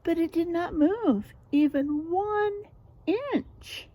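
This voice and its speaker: A woman reading a picture book aloud to children, teacherly and expressive, stopping to prompt them with questions and leaning on story words for drama. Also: very high-pitched, extremely slow.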